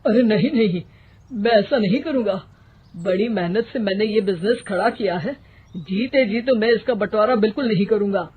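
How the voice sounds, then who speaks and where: A woman's voice: mid-range voice, moderate pace, natural voice. A woman storyteller playing a firm, earnest elderly father who reasons and pleads. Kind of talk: storybook character acting. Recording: thin phone-like sound, faint noise.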